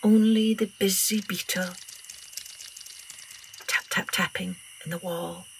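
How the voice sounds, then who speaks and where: An older woman with a Scottish accent, telling stories and poems to children in an expressive, theatrical, deliberate way. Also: mid-range voice, creaky, slight background noise, rough recording. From Scotland. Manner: theatrical, deliberate, drawn-out delivery with hushed passages. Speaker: children's storytelling podcast host. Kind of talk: expressive storytelling and poem recital for children.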